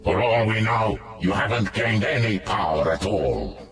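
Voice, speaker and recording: An actor playing a menacing, imperious, bloodthirsty dark god in a video game. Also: male voice, on the low side, lively intonation, unhurried. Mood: scornful relish. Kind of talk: video game cutscene acting.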